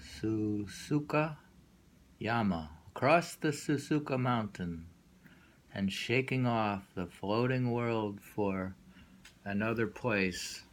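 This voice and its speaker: Slow, low-pitched man reading poetry aloud. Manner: unhurried, pausing, musing. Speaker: poetry reader. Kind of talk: reading poems aloud with informal commentary.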